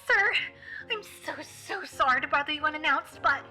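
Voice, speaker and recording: A woman's voice: sits high, lively intonation, normal speed, bright, forceful tone, soft background music, exaggerated voice. A woman playing a frantic, apologetic young secretary in an audio drama, flustered and stammering. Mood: panicked apology. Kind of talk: audio drama character acting.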